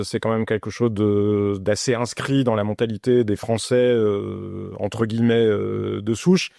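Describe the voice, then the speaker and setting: A man's voice: medium pitch, gravelly, dark tone, no room sound, studio quality. A man hosting a podcast, putting questions in a conversational interviewing style.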